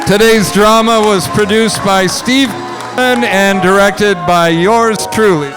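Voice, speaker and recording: High-voiced man announcing slowly on stage. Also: steady tone, crowd noise, low-quality recording. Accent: American. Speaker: director and announcer of a stage radio play. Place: on stage at a live show. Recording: music and audience behind.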